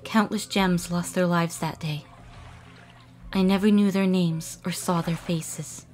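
A woman voicing a melancholy, guarded young female alien water gem in a cartoon dub, wistful and halting. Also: fairly low pitch, steady tone, darkish tone, faint music behind, close to an everyday voice. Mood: regretful. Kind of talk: dramatic cartoon comic dub.

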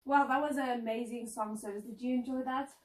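A woman's voice: fairly high pitch, fairly even intonation, a little fast. Young woman, 20s, upbeat interviewer; echoey room, mic set back. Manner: bubbly, frequent ums and restarts. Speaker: announcer and interviewer. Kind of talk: casual interview chat. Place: indoor lounge set, seated on couch. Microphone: far camera mic. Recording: roomy echo, distant mic.

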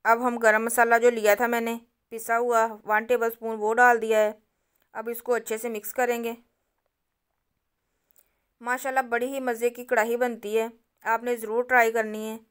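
Lady doing a cooking tutorial, smooth clear voice, kinda flat and even, calm how-to talk. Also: on the high side, normal speed. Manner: calm, instructive, addresses viewers directly. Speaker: cooking channel host. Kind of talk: step-by-step recipe narration.

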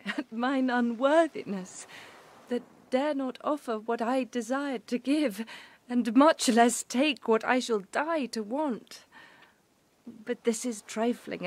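Lady acting sweet innocent girl in love, lightish voice, unhurried, tender shakespeare romance. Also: a little high. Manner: earnest, tender. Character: innocent, devoted young maiden in love.

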